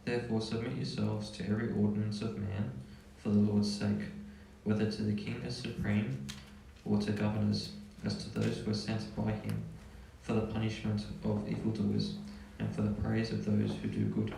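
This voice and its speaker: Man, deep dark gravelly voice, measured scripture reading, distant echoey hall, faint noise. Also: monotone. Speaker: Bible study participant reading scripture. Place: church hall.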